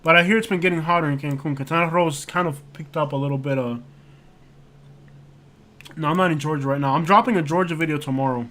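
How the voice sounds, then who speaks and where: A man with a fairly high voice hosts a loose, unscripted livestream. He rambles as he reacts to what he sees and leans hard on filler words. His delivery is casual and conversational throughout. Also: quick pace, fairly bright tone. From United States. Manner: rambling, heavy on fillers like "like" and "you know". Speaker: livestream host. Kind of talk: casual live-stream chat while browsing street views.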